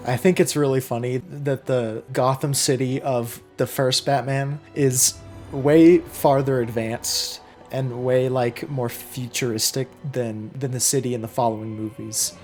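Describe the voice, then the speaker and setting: A hoarse young man in his twenties hosting a casual film reaction, chatty and excitable, with many fillers. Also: mid-range voice, a little slow, faint music behind. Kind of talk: casual movie reaction commentary.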